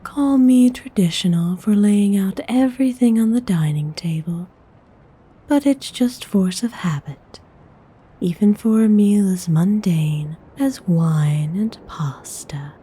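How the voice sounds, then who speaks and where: A woman playing a courteous, commanding vampire noblewoman in an ASMR roleplay, speaking in a soft, mostly whispered voice with genteel, formal phrasing. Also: mid-range voice, husky. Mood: politely insistent. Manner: genteel, unhurried, formal wording.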